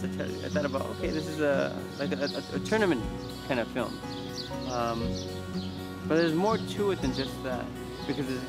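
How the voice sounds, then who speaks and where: A man, an actor, giving a casual on-camera interview in a halting, rambling way, as if thinking aloud. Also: mid-range voice. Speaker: actor in a behind-the-scenes interview.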